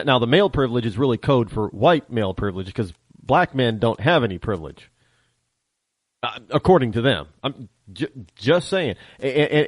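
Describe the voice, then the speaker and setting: A man talks on his own radio show from a home studio, in a wry, needling way, tripping over the starts of his sentences and chuckling at his own jabs. The room sounds dead and dry, and his pitch sits in a middle range. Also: no room sound. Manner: wry, teasing, stammers and restarts, chuckles. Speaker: radio talk show host. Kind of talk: political talk radio monologue.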